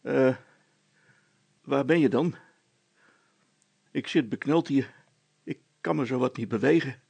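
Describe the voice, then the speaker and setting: A man voicing a practical handyman father in a storybook, giving deliberate step-by-step instructions. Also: mid-range voice, creaky, a little mellow, husky, no room sound, heavily acted. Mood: weak, strained. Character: practical handyman father, calmly organising everyone.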